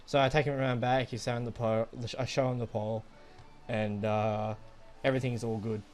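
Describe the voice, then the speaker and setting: A young man chats casually, as if telling friends a story. His talk rambles and is full of swearing. His voice sits at a middling pitch, with music playing behind it. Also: little pitch movement, a little fast, a little mellow. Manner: profane, rambling. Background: background music.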